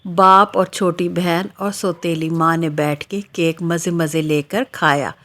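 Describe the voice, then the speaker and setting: Woman narrator, lower pitch, slow pace, scripted children's storytelling. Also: fairly even intonation, a bit bright.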